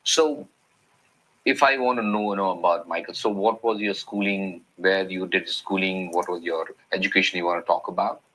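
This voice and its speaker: A man's voice: low voice, fairly even intonation, moderate pace, fairly bright tone, a little hiss or hum, poor audio. A man hosting an interview, asking loosely phrased questions between frequent backchannels. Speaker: interview host.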